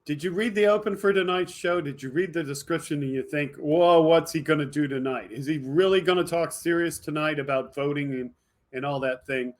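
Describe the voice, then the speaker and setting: A man hosting a video podcast, delivering an impassioned, emphatic political monologue straight to his viewers, swinging between forceful outbursts and lower asides. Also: a little fast. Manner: emphatic, fired up, addressing viewers directly. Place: indoor home studio with green screen.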